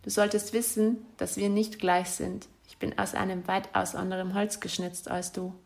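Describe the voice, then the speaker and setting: A woman's voice: mid-range voice, little pitch movement, normal speed, vocal fry. A woman reading out a letter she wrote to her former partner, in a bitter, accusatory and insulting way. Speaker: spurned ex-partner.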